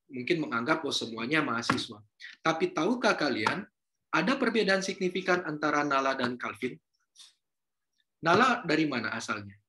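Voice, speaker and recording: Chatty lecturer, bright voice. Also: male voice, fairly high pitch. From North Sulawesi, Indonesia. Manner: casual, chatty, mixes in Javanese and English words, asks students questions. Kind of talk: university lecture.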